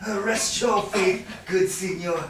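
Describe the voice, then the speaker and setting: A man acting a bitter, sly moneylender in a Shakespearean staged reading, with a hesitant, drawn-out, chuckling delivery. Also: sits high, fairly bright tone. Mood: sly, musing. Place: live staged reading in a reverberant room. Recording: echoey room, distant mic, faint noise.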